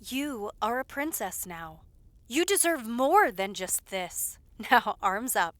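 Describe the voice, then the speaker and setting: A woman playing a caring, brisk palace maid in an audio roleplay, speaking with polite formality and fussing reassurance. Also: fairly high pitch, very animated, fairly bright tone, no echo, full character voice. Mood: brisk, indulgent.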